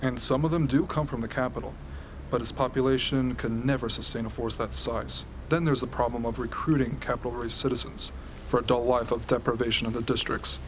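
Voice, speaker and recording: Radio play briefer, male actor: serious, explanatory, mid-pitched, slight background noise. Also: fairly even intonation, fairly quick, lightly acted, low-quality recording. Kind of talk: amateur radio drama acting, expository briefing. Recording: hobby recording with ambient noise.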